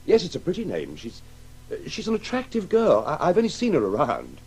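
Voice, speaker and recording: An actor playing a distracted, grieving middle-aged English husband with a halting delivery in a radio drama. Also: male voice, on the high side, huge pitch swings, darkish tone, breathy edge, full character voice. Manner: absent-minded, halting. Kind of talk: 1980s radio play acting.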